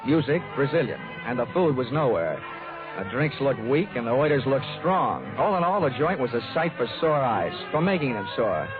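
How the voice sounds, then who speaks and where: Through a narrow, tinny old radio recording with music comes a hardboiled private eye played by a male actor. He talks at a middle pitch, dry and wry, clipping off wisecracks as he narrates his case in 1940s radio drama style. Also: natural voice. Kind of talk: 1940s radio detective acting.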